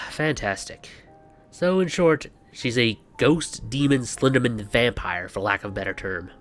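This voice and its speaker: A man with a mid-pitched voice presents a scripted explainer. He is wry and sarcastic, dropping exasperated asides like a host in a back-and-forth. Music plays lightly behind him. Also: a little slow, a bit bright. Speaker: video host. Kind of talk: scripted explainer narration with banter. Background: background music.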